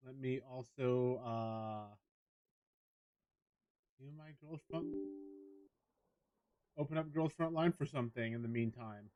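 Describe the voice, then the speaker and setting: A man's voice: medium pitch, slow, pauses often, lo-fi sound, faint music behind. A man talking casually to viewers as a livestreamer during a gaming stream.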